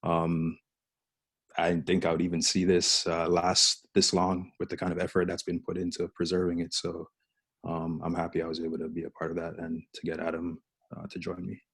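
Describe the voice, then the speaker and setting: Deep flat-voiced Jamaican-born man. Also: creaky, breathy edge, no room sound. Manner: reflective, halting with fillers. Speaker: mural artist and teacher. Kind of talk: panel introduction.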